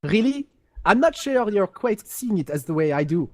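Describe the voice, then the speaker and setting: Man putting on a pompous high-pitched space aristocrat. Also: sing-song, darkish tone, dry recording. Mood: condescending. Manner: haughty, pompous, halting delivery. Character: pompous, condescending highborn alien aristocrat man of a galactic empire. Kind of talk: amateur role-play reading of game lore.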